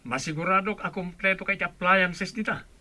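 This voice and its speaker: A man's voice: high-pitched, steady tone, fairly quick, sharp tone. A man acting in a radio drama as a sassy, nosy friend, brash and teasing.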